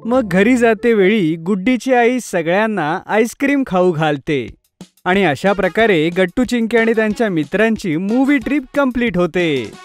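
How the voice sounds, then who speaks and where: A woman narrating a children's cartoon story in a gentle, even storytelling manner. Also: medium pitch, normal speed, faint music behind.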